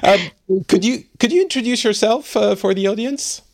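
A man's voice: high voice, normal speed, vocal fry. A man hosting a podcast from Finland, friendly and chatty, with frequent fillers and light laughs. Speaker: podcast host. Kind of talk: casual interview chat. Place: home studio in Finland.

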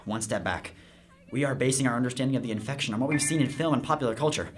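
Guy doing nerdy earnest teen boy, radio play, wordy and quick, music behind him. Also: medium pitch, natural voice. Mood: urgent, analytical. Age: teenager. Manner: wordy, geeky, precise phrasing. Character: earnest, nerdy fifteen-year-old homeschooled boy, loyal and analytical. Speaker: actor in a radio drama. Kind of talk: radio drama acting.

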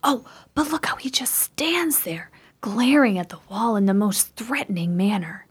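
A woman playing a bold, curious, strong-willed sister in a radio chiller, with formal, dramatic diction and a husky voice. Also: medium pitch, expressive, normal speed. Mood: tense, awed. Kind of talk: theatrical radio play acting.

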